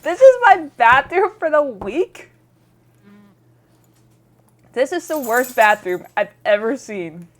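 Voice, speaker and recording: A woman hosting a gaming video, animated and joking as she reacts and reads game dialogue aloud. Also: high voice, slow pace, long pauses. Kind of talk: playthrough commentary, reading game dialogue aloud.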